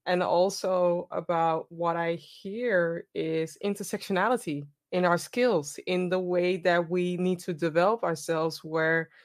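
Low-pitched woman moderating a panel. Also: steady tone, unhurried, few pauses. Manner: enthusiastic, affirming.

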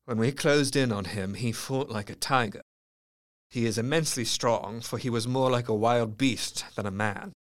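A man's voice: medium pitch, normal speed, close to an everyday voice. An actor playing a grave, melancholy Victorian asylum doctor, narrating his diary precisely and earnestly in a gothic audio drama. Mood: grim.

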